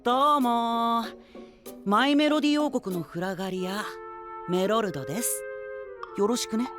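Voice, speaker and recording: A man's voice: very high voice, normal speed, over music. A man playing a cocky, playful pretty-boy knight in an anime audio drama, breezy and teasing with a condescending streak. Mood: smug.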